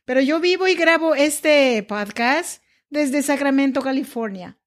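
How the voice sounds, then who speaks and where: A woman hosts her podcast alone, talking to the listener in a confiding, personal way. Her voice sits a little higher than most women's. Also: unhurried. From Mexico. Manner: warm, confiding, talks directly to the listener. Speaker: podcast host.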